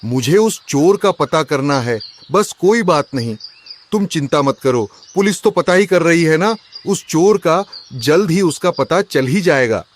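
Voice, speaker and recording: A man's voice: on the high side, lively intonation, measured pace. Man acting an aggrieved, suspicious cartoon husband. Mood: uneasy. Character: suspicious, aggrieved husband robbed of his chest.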